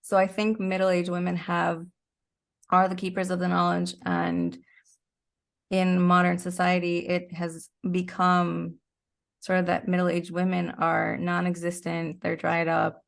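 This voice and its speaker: A woman's voice: lowish voice, unhurried, gravelly. A woman in her forties speaking candidly and emphatically as a guest in a reflective interview conversation. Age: 40s.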